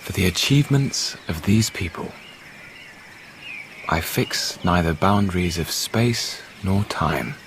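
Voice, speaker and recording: A British actor playing a weary, reflective deposed Roman emperor in a radio drama, speaking in a measured way. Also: male voice, low voice, lively intonation, slight background noise, full character voice. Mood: solemn, elegiac.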